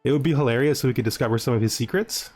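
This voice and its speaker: A man's voice: medium pitch, very fast, a little mellow. Guy doing a casual strategy game lets play, kinda jokey, talks to himself and asks questions, music in the back. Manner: rambling, thinking aloud, often asking himself questions. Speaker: gaming video host. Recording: background music under the voice.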